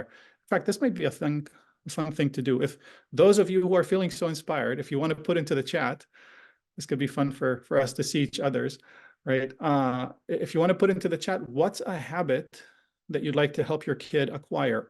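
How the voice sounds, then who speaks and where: A man's voice: on the high side, a little mellow. A man whose first language is Hebrew, giving a conversational online parenting workshop talk over a video call and often checking in with "right?". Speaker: parenting educator.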